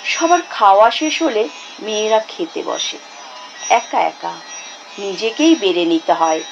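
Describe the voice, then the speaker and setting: A woman reciting a poem dramatically, in a measured, expressive way with deliberate pauses. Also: medium pitch, gravelly, lo-fi sound. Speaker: poetry reciter. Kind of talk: dramatic Bengali poetry recitation. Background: instrumental music.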